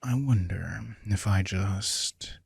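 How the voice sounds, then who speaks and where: A man voicing a possessive, teasing vampire in an intimate audio roleplay, smug and softly commanding. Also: lowish voice, slow pace, gravelly, slight background noise. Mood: hushed, smug. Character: possessive, teasing male vampire with hypnotic powers. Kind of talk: intimate scripted audio roleplay addressed to the listener.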